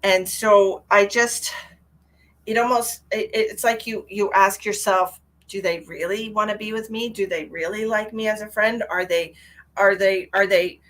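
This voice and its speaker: A woman, congested, chatting warmly with viewers during a live tarot reading. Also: medium pitch, steady tone, unhurried. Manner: chatty and affectionate, addresses viewers directly. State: stuffy, congested. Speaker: psychic tarot reader.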